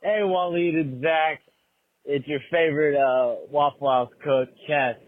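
A man's voice: a little high, fairly even intonation, unhurried, soft background music. A man leaving a casual, joking voicemail as a fan of a show, on a narrow lo-fi recording. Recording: dry, muffled lo-fi voicemail clip.

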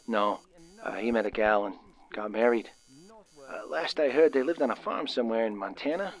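An actor playing a nervous, excitable young working man of the 1930s, stammering and using folksy slang, in a radio drama. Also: mid-range voice, moderate pace, lightly acted, poor audio. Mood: awkward, hesitant. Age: young adult. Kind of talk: old-time radio drama acting.

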